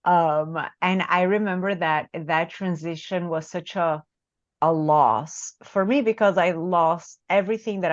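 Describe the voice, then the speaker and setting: Brazilian-accented woman, therapist guest, lowish voice, dull dry call audio; reflective, conversational. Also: dry recording. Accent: Brazilian Portuguese. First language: Portuguese. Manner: reflective, tag questions like "right?". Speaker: marriage and family therapist, guest author. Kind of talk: casual interview talk.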